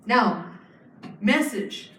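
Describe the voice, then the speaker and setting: A woman's voice: fairly high pitch, expressive, drawn-out words, sharp tone, distant mic, a little hiss or hum. A woman giving a public lecture, explaining earnestly with frequent fillers and restarts. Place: lecture hall. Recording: echoey hall, speaker off-mic.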